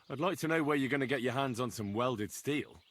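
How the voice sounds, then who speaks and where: An actor playing a young medieval blacksmith's helper in a video game, cheeky and loyal, with a dry, plain-spoken manner. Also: male voice, medium pitch, moderate pace, a bit bright, lightly acted. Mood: cheeky, keen. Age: young adult. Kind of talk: video game dialogue acting.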